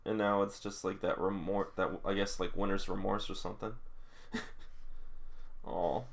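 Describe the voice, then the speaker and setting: A man's voice: sits low, level tone, measured pace, vocal fry. A man doing a read-along with casual, emotional running reactions, often exclaiming like an absorbed fan. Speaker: reader reacting to a manga.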